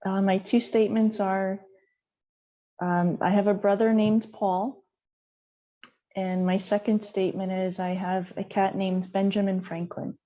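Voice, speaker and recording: A soft-spoken woman from New York speaking plainly on a group video call. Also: medium pitch, little pitch movement, measured pace. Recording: dull lo-fi call audio.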